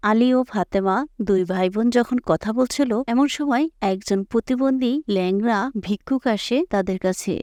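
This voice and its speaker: A woman's voice: medium pitch, moderate pace, a little mellow, no echo, clean studio sound. A woman narrator reading a scripted story.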